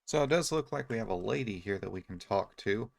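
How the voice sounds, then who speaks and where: A man giving casual, low-key, explanatory video game commentary. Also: lively intonation, a little fast, vocal fry.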